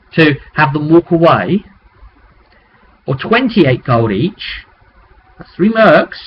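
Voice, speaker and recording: A man talking as a game master, recapping a tabletop roleplay session in a rambling, conversational storytelling way on a webcam microphone. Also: a little high. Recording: dull webcam audio with background noise.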